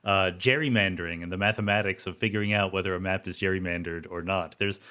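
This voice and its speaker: A man's voice: low voice, fairly even intonation, quick pace, pushed and bright, clear, resonant tone. A man hosting an interview podcast, asking curious, informal questions and rephrasing them as he goes. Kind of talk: conversational interviewing.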